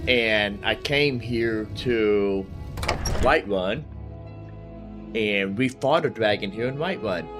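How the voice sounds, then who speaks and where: A man's voice: on the low side, slow pace, over music. A man hosting a casual game livestream, rambling in a folksy way and repeating phrases for emphasis. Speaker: live streamer, gaming host.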